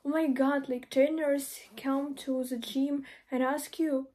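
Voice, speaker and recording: A young woman with a Ukrainian accent talks to the camera in a small room, her high voice soft and mellow. She speaks slowly and haltingly, with lots of fillers and restarts. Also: fairly even intonation. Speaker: online mentor. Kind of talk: casual advice vlog. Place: small indoor room by a door.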